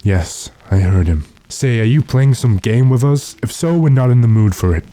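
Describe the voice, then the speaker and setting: A man playing a stern, determined gentleman in a radio drama, speaking in a commanding, impatient way. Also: medium pitch, lively intonation, normal speed, no echo, natural voice. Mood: irritated, determined. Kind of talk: classic radio drama acting.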